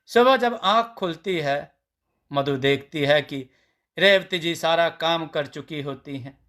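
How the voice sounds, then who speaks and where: Slow-paced, higher-pitched male storyteller. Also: a bit bright. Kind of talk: scripted narration of a Hindi family story.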